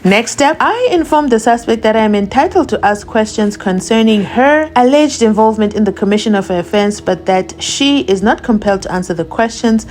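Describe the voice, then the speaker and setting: A woman with a South African accent, a channel host reading a document aloud slowly and breaking off for chatty asides. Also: medium pitch, a little mellow. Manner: reads slowly and deliberately, adding chatty asides.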